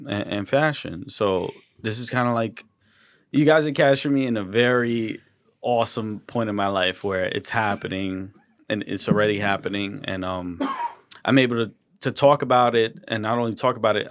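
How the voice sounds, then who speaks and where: Gravelly low-voiced man, earnest and halting. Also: dry recording, clean studio sound. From Colombian and Ecuadorian heritage. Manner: earnest, halting, repeats words. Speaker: guest artist. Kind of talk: casual interview chat.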